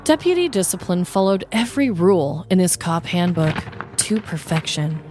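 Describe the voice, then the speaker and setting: Lower-pitched woman hosting a comedy podcast. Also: big pitch swings, normal speed, darkish tone, soft background music. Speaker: podcast host. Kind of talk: scripted comedic true-crime narration and casual chat.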